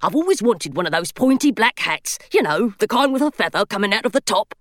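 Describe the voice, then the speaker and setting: A woman's voice: mid-range voice, very animated, brisk, no echo, clean studio sound, lightly acted. A woman doing a fretful, theatrical stone gargoyle. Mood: grumbling. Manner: indignant and self-pitying, theatrical sighs.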